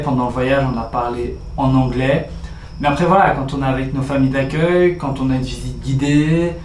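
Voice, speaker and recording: A teenage man from Belgium, chatting casually as he answers viewer questions about his exchange year. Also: medium pitch. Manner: chatty, reads out questions then answers them. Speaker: exchange student vlogger. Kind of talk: casual vlog Q&A. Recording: roomy echo with faint ambient noise.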